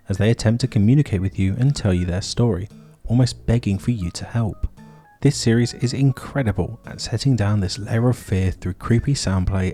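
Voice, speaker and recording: A man's voice: lowish voice, mellow. A man hosting and narrating a scripted video essay.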